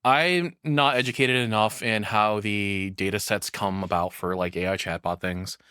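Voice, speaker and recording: A man's voice: fairly low pitch, moderate pace, pushed and bright, clean tone, no room sound, clean studio sound. A man doing casual live reaction commentary in a rambling, frank, crudely humorous way. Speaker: reaction streamer.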